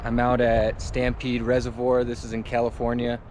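Relaxed man vlogging outdoors by a lake. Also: medium pitch, normal speed, a little hiss or hum. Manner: relaxed, upbeat, offhand. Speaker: fishing vlogger. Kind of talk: casual outdoor vlog. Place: outdoors by a lakeside dam. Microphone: action camera.

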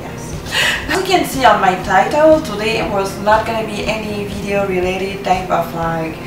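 A woman's voice: mid-range voice, moderate pace. Chatty young french-speaking woman from cameroon, roomy echo, sounds far from the mic. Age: young adult. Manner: chatty, lots of fillers, laughs at herself. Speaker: fashion vlogger. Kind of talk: casual chat to camera. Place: apartment living room, indoors. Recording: roomy echo, distant mic, background noise.

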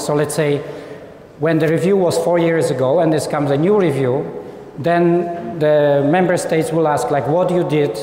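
A man's voice: on the high side, mellow, slight background noise. Man lecturing in an echoey hall. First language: Polish. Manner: conversational, acts out quoted diplomats. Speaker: lecturer on human rights. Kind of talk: informal lecture. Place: lecture hall with seated audience. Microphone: lapel mic.

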